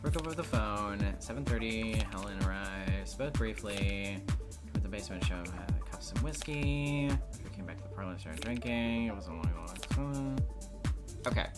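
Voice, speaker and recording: A woman's voice: very deep voice, animated, normal speed. A woman live streaming a game playthrough, casually thinking aloud as she goes. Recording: loud game music behind the voice.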